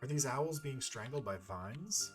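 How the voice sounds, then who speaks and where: Young adventure-game heroine, curious, earnest, wondering, voiced by a male player, soft music bed. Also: medium pitch, expressive, unhurried, natural voice. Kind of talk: video game dialogue read-along.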